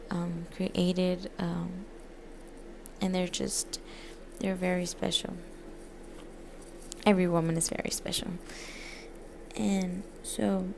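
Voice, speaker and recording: A woman speaks slowly in a heavily creaky voice with little rise and fall. She presents a story informally, like a student, hesitating and restarting as she goes. Faint noise sits behind her. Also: lowish voice, mellow. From United States, born in Mexico. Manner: hesitant, halting, frequent pauses and self-corrections. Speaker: student presenting a literature essay. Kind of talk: informal spoken book presentation.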